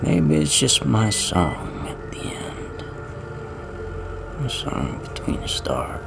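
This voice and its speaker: A man's voice: on the low side, wildly swooping pitch, slow pace, vocal fry, music in the background, full character voice. A man playing a lonely, wry, weary space garbage man in an audio drama, rambling in a folksy, reflective way. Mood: quiet wonder.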